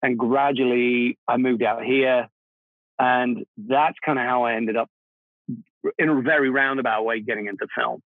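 A man in his forties, born Czech, recalls his path into music with a self-deprecating humor. His voice comes through a narrow, phone-like band with almost no room sound. He sits at a middling pitch for a man. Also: steady tone, moderate pace, no echo. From Czech Republic. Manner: reflective, self-deprecating, laughs easily. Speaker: guest, film composer. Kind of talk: casual interview conversation.

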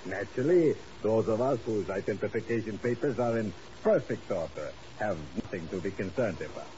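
An actor playing a menacing secret police chief in a 1950s radio drama, smooth, formal and falsely courteous. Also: male voice, fairly low pitch, animated, a little fast, mellow, close to an everyday voice. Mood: veiled menace. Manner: smooth, formal, veiled threat. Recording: hissy, dull vintage radio recording.

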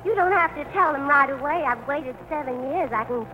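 An actress playing a trusting, patient, doting sweetheart in old radio comedy, sweet, naive and placating. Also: female voice, sits high, fairly quick, lo-fi sound, natural voice. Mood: soothing, eager. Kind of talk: 1940s radio comedy acting. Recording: hissy old radio broadcast.

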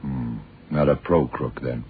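A calm, shrewd detective with a voice at the very bottom of the male range. He fires off terse, laid-back questions in an old radio thriller, on a muffled recording with faint hiss. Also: a bass voice, unhurried. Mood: cool, probing. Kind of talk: 1970s radio crime drama acting.

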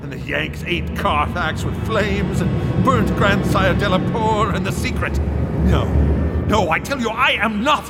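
A man acting an older, deranged American gentleman in a radio drama, ranting in slow, melodramatic declamation. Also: high-pitched. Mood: furious raving. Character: deranged American gentleman descended into raving madness. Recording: loud music over the voice.

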